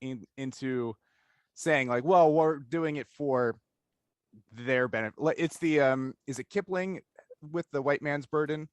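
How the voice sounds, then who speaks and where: A man's voice: medium pitch, a little slow, clean tone, dry recording. A man hosting a casual discussion interview, thinking aloud analytically in long stretches and often checking in with "right?". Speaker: interviewer, podcast host.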